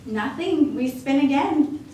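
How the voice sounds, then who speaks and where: A woman's voice: sits high, lively intonation, slow, warm tone, voice pushed up. A woman voicing a kindly, cheerful old grandmother who talks with fond teasing, in an echoey room away from the mic. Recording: echoey room, mic at a distance, faint noise.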